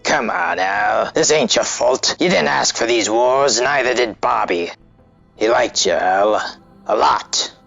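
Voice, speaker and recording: Man playing a gravelly, animated streetwise pal. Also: medium pitch, slow, lo-fi sound, faint music behind, exaggerated voice. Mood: concerned. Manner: colloquial, earnest. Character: loyal, streetwise male friend, concerned and pleading. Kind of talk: comic dub acting.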